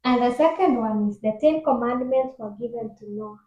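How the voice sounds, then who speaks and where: A woman's voice: a little high, normal speed, warm tone, lo-fi sound. Giggly woman quizzing in an echoey room. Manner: giggly, reading questions aloud. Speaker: quiz asker. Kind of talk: casual bible quiz chat.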